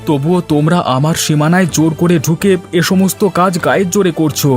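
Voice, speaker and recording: A male actor voices a humble village man from a cartoon. His voice is a little high, earnest and emphatic. Light music plays behind him. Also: fairly even intonation, fairly quick, darkish tone, close to an everyday voice. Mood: indignant. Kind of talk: Bengali cartoon voice acting.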